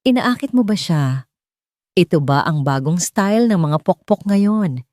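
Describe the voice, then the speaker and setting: A woman's voice: on the low side, animated, a little slow, a little mellow, dry recording, studio quality. Woman voicing a haughty, venomous rich fiancée. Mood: venomous, furious. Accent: Filipino. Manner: condescending, mixing English into Tagalog.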